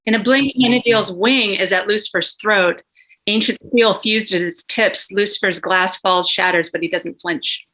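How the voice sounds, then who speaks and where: Bright-voiced woman reading stage directions. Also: mid-range voice, normal speed, poor audio. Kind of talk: scripted narration.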